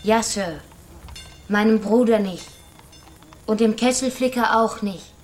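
A woman's voice: mid-range voice, slow, darkish tone, a little hiss or hum, lightly acted. An actress playing a slight, weary young wanderer in a German radio drama, hesitant, polite and evasive in her short answers. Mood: furtive pleading.

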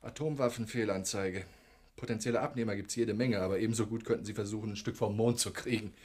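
An actor in a German radio play playing a worldly, sardonic arms dealer, dry and businesslike. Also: male voice, lowish voice, steady tone, fast, a little mellow, breathy edge.

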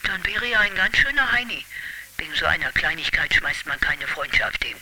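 A woman's voice: lowish voice, lively intonation, normal speed, pushed and bright, exaggerated voice, rough recording. An actress playing a sensible old grandmother in a radio play, plain-spoken and reassuring. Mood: calm, reassuring.

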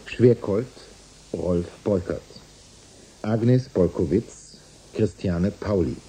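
A man reading scripted credits as a radio announcer, formal and deliberate. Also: low-pitched, mellow, husky, low-quality recording.

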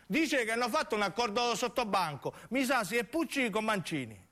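Man acting an animated, indignant southern Italian townsman. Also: very high voice, moderate pace, voice pushed up, exaggerated voice. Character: indignant townsman spreading news of political betrayal.

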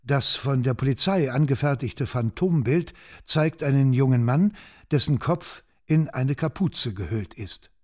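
A man's voice: medium pitch, lively intonation, unhurried, darkish tone. A man giving sober, factual scripted narration for a true-crime radio documentary.

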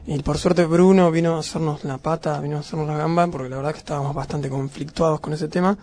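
A man's voice: on the high side, fairly even intonation, quick pace, darkish tone, slight background noise. A man, a talkative band member joking wryly on radio. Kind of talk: casual interview.

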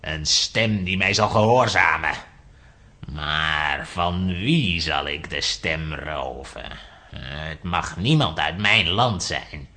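A man playing a sinister, scheming sorcerer villain in a children's audio drama, with a deliberate, menacing delivery. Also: a bass voice, bright, forceful tone, full character voice.

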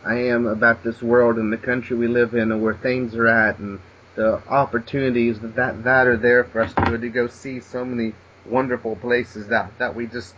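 A man's voice: medium pitch, flat delivery, moderate pace, fairly bright tone. Male podcast host, hesitant casual talker, muffled recording with slight noise. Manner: hesitant, stumbling restarts. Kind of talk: casual interview chat. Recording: dull lo-fi sound with faint noise.

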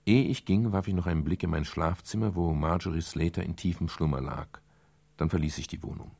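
Here is a hard-boiled male private eye in a radio crime drama. His voice is very low and gravelly, with a husky edge. He is dry, laconic and brusque, sardonic about the world around him. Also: fairly quick, darkish tone, no room sound. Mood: laconic, brooding. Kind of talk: 1970s German radio crime drama, first-person narration.

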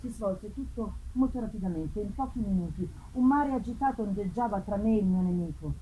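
A woman's voice: medium pitch, a little fast, mellow, slight background noise, lightly acted, poor audio. A woman voicing an anguished, vengeful man in a solemn, impassioned, theatrical reading of a gothic novel. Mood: grave, weary. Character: anguished, vengeful man hunting the demon who wronged him. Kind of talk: dramatic first-person gothic novel reading.

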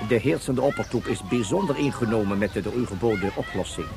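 An actor playing a formal, courteous official with dry disdain, speaking in a measured radio drama manner. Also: male voice, on the low side, vocal fry. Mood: formal, polite.

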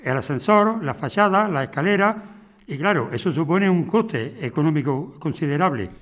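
A man with an accent from Spain playing a smooth, unyielding property company agent in an audio drama, formal, measured and condescending. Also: on the high side, expressive, a bit bright.

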